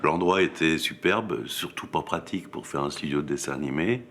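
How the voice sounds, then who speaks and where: A man's voice: moderate pace, fairly bright tone. A middle-aged man reminiscing casually in an interview. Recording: faint rumbling background noise.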